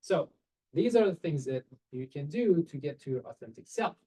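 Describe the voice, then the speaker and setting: A man's voice: fairly high pitch, lively intonation, normal speed, mellow, slightly rough, low-quality recording. A man, giving earnest career advice to students in a seminar over a video call. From Iran. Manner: earnest, direct, rhetorical questions, non-native phrasing. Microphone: webcam on a video call.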